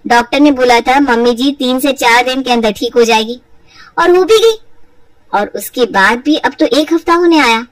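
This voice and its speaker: A woman's voice: high-pitched, normal speed, no room sound, far from the mic, close to an everyday voice, low-quality recording. A woman voicing a shrewd young daughter-in-law in a cartoon moral story, speaking politely but pointedly. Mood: sweetly pointed. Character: shrewd young daughter-in-law, sweetly sly, weary of taunts.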